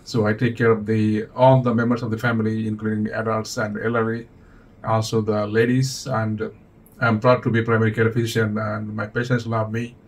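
A man in his forties from Bangladesh, a doctor, answering interview questions plainly and calmly in an office, with slightly English. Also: fairly low pitch. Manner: plain, measured, slightly non-native English. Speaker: physician, interview guest.

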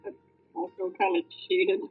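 A woman's voice: on the low side, flat delivery, normal speed, soft background music. A woman reading fan fiction aloud casually, with informal, sweary joking asides. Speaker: fan fiction reader and commentator. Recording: thin, phone-like lo-fi audio.

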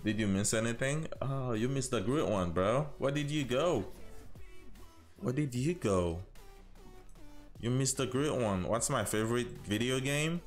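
A man's voice: medium pitch, animated, unhurried, faint music behind. A man in his thirties from Haiti with a mixed Haitian, Caribbean and American accent, chatting casually and in a friendly way with viewers as he streams a game. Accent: Haitian with Caribbean and American influences. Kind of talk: casual livestream chat with viewers over gameplay.

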